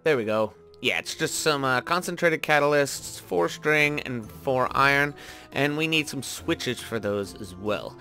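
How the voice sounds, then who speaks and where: A man's voice: medium pitch, lively intonation, unhurried, pushed and bright, soft background music. A man doing casual gaming commentary, thinking aloud in a rambling way as he talks to his viewers. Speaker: gaming video host. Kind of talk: casual Minecraft let's-play commentary.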